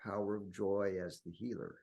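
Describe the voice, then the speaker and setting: A man leading a guided meditation in slow, deliberate phrases with long pauses and a husky, gravelly voice. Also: fairly low pitch, level tone, mellow.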